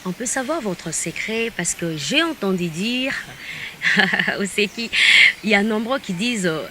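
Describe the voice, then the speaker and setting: A woman's voice: mid-range voice, animated, unhurried. A woman from DR Congo hosting a talk show, chatting casually with a guest and teasing him now and then in Lingala phrases. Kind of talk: casual interview chat. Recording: loud background noise.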